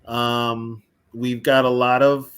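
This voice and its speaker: A man's voice: medium pitch, level tone, slow, a bit bright, clear, resonant tone. A man co-hosting a casual live stream, chatty, laughing easily and talking to the viewers in the chat. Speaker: co-host of a fan podcast.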